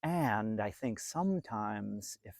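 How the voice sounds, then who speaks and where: A storyteller reading a picture book aloud to young children in an expressive, animated way with dramatic pauses. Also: medium pitch, vocal fry, no echo, studio quality.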